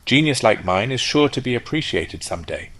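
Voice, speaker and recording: A man's voice: medium pitch, big pitch swings, moderate pace, a bit bright, pitched above the performer's own voice. A man voicing a conceited male firework rocket who talks in a haughty, lecturing way. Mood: pompous. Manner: haughty, self-important, lecturing.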